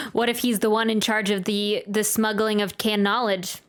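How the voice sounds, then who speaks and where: A woman's voice: medium pitch, little pitch movement, normal speed. A young woman chatting playfully and expressively as she reacts to a video game. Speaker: reaction video host, gamer. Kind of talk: casual gameplay reaction, some reading aloud of dialogue. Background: game music.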